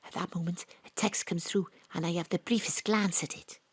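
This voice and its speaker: A woman narrating and playing a bold private investigator, often hushed and conspiratorial. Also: fairly low pitch, steady tone, a little fast, husky, no echo. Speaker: narrator and lead. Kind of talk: first-person drama narration. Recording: dry home studio.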